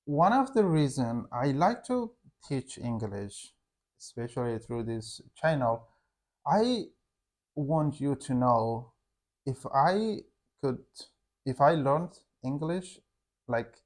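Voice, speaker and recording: A young man speaks English as an in a relaxed chat with his wife, at an ordinary, mid-range pitch. He pauses often and circles back to find his words, filling the gaps with "like". He sounds like a teacher talking informally to his learners. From Afghanistan. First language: Hazaragi. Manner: halting, searching for words, frequent "like". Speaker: English teacher and channel co-host.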